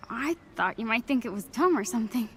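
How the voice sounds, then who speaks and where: Eager shy teen hero girl, highish and swoopy voice, video game, faint noise. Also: lively intonation, fairly quick, fairly bright tone. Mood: shy, pleased. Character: eager, modest, starstruck young superhero girl. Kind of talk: animated video game dialogue.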